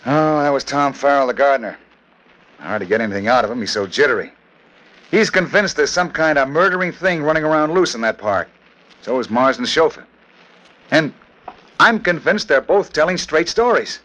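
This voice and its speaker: A man's voice: a little high, lively intonation, normal speed, fairly bright tone, poor audio. A man playing a harried, worried police commissioner in an earnest, emphatic 1940s radio style. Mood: brisk.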